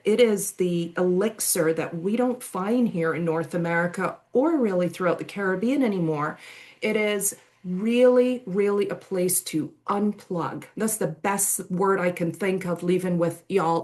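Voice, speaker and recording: A woman's voice: mid-range voice, darkish tone. A woman from Barbados and England giving an effusive, informal sales presentation in colorful, colloquial wording. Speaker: resort sales and marketing director, guest presenter.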